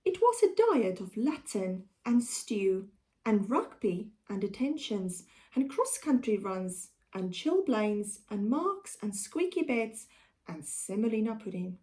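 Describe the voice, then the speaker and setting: Female storybook reader, South African accent, mellow voice with very lively intonation, unhurried and deliberate. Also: on the high side. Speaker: primary school teacher. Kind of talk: storybook read-aloud for children.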